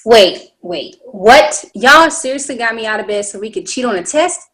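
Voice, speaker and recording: A woman as an exasperated, higher-voiced teenage girl. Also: lively intonation, normal speed, fairly bright tone. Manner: scolding, unimpressed. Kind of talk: table read of a pilot script.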